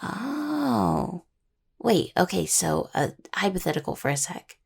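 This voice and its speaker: Casting: actress as young female necromancer healer for intimate audio roleplay; heavy vocal fry, lowish register, husky, affectionate, stubborn, halting casual delivery. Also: darkish tone, full character voice. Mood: relieved, tentative. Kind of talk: intimate roleplay audio, one-sided dialogue to listener.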